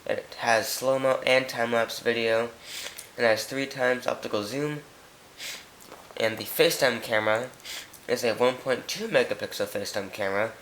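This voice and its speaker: A man's voice: mid-range voice, moderate pace, a bit bright, a little hiss or hum, poor audio. A man with a stuffy nose hosting a casual tech video, talking through phone specs off camera and correcting himself as he goes. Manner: chatty, reads specs, frequent self-corrections. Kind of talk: casual product walkthrough.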